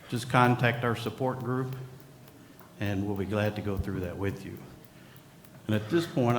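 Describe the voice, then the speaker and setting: A man presenting at a conference podium, relaxed and folksy. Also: on the low side, slight background noise. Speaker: company executive presenting at a conference. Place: conference hall. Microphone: podium microphone. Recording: echoey conference hall.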